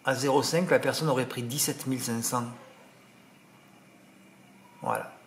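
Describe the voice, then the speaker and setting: A man's voice: mid-range voice, fairly even intonation, measured pace, a little hiss or hum. A man with a regional French accent giving horse racing tips in an indignant, rambling monologue, peppered with tag words. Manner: indignant, rambling, full of "hein" and "voilà". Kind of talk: casual monologue to subscribers.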